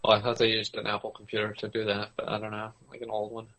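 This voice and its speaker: A man's voice: on the low side, completely flat delivery, fast talker, vocal fry, fairly bright tone, low-quality recording. A man co-hosting a podcast in casual chat about anime, laid-back and a little hesitant as he asks and answers. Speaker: podcast co-host.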